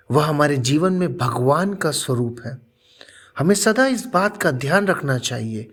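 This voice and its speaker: Slow, expressive male storyteller. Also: a little high, husky. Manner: deliberate, earnest, dramatic phrasing. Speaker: storyteller and channel presenter. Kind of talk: expressive scripted moral-story narration.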